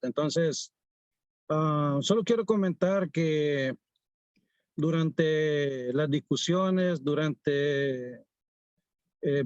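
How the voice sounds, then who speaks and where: Slow, deliberate man, dry sound. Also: fairly high pitch, darkish tone, no room sound. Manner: slow, deliberate, pausing often. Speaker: community organizer. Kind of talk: workshop discussion.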